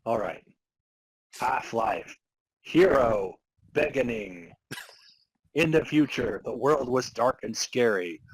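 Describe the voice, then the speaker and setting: A man's voice: mid-range voice, animated, takes it slowly, long pauses, husky, no room sound, poor audio. A man co-hosting a comedy podcast, alternating mock-dramatic story narration with casual chat. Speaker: podcast co-host.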